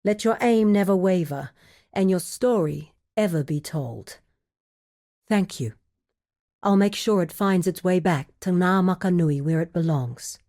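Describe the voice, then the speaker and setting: A woman playing a composed, shrewd criminal guild woman in a video game, guarded and wry, speaking in a measured, formal, articulate way. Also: lowish voice, dark tone. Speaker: video game quest-giver character. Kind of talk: scripted video game dialogue.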